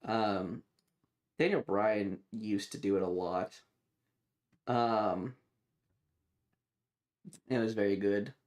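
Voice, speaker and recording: A man's voice: on the low side, slow, pauses often, darkish tone. A man chatting casually on a livestream, rambling and swearing with enthusiasm as he reacts and gives his takes.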